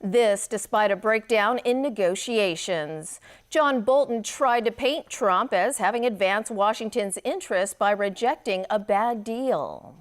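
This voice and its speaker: A woman's voice: mid-range voice, measured pace. Woman reading scripted television news. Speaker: news anchor.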